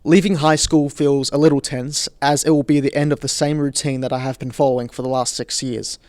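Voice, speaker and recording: A young man in his late teens, reading a prepared reflection in a measured, composed way. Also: mid-range voice, little pitch movement, a little mellow, no room sound, faint music behind. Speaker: student leaving high school.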